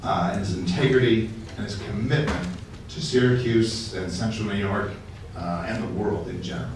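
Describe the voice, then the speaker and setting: A man's voice: fairly low pitch, moderate pace, darkish tone, slight background noise. A man introducing the keynote speaker at a banquet, earnest and hesitant with fillers. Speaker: event emcee. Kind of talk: formal introduction. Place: large hotel banquet hall. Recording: echoey hall from far off.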